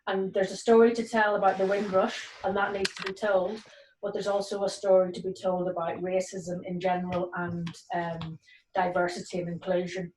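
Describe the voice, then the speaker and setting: A woman's voice: fairly low pitch, little pitch movement, normal speed, darkish tone, slight background noise. Earnest woman police sergeant on a video call. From Nigeria and Northern Ireland. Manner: earnest, many ums and restarts. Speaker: police community cohesion sergeant. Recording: roomy, distant video call audio.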